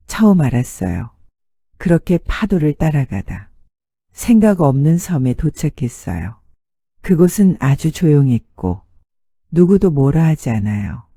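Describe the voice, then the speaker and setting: Male bedtime storybook narrator, extremely mellow and dark tone, lilting pitch, gentle short phrases. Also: no echo, clean studio sound.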